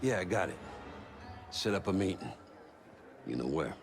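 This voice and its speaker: An actor playing a smug, wry spy fixer with a gravelly voice and a dry, knowing delivery in a video game cutscene. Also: male voice, sits low, sing-song, moderate pace. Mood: wry, businesslike. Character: smug, wry old-school spy fixer doing shady deals. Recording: loud music behind the voice.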